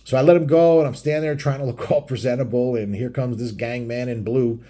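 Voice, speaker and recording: A man's voice: mid-range voice, fairly even intonation, a little fast, warm tone. A middle-aged man with a mid-range voice spins a story for his vlog. He talks casually and rambles as he goes. His wording is blunt, crude and thick with profanity. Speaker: biker vlogger telling stories.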